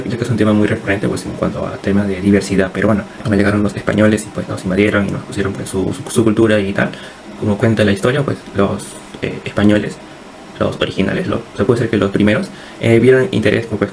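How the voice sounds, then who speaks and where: A young Peruvian man presents like a university student in class. His voice is lowish and flat in pitch, and he talks quickly but haltingly, repeating words as he goes. There is faint noise behind him. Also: low-quality recording. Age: young adult.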